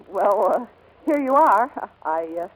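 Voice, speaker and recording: A woman's voice: medium pitch, animated, takes it slowly, fairly bright tone. An American actress playing a spirited, stubborn young woman pilot in 1940s radio drama style. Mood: playful, earnest. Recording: noisy vintage 1940s radio recording.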